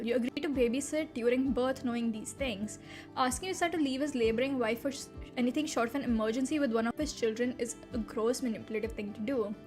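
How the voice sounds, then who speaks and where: High-voiced, animated young woman streamer. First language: Hindi. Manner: animated, incredulous, laughs often, blunt with swearing. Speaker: VTuber streamer. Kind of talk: reading and reacting to online posts on a live stream.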